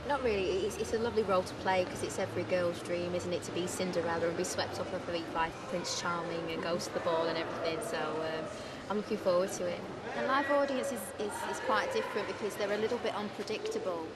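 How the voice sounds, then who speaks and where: A woman's voice: lowish voice, steady tone, quick pace, over a noisy crowd. A woman, an actress, chatting casually in a promotional interview. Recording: very noisy outdoor street setting.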